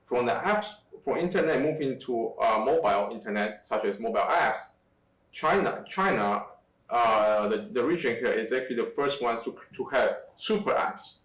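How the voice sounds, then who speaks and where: A middle-aged man from Taiwan, a guest in an interview, speaking in a halting way with many fillers and restarts. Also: medium pitch, animated, fairly bright tone. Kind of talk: conversational interview. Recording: echoey room, distant from mic.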